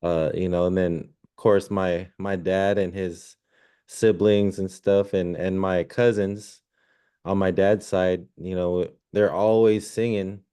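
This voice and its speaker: Laid-back man with smooth low voice. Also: flat delivery, slow pace, mellow. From born in Zambia, raised in Washington state. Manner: laid-back, slangy, says man and dude often. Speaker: guest musician and restaurant owner. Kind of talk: casual storytelling.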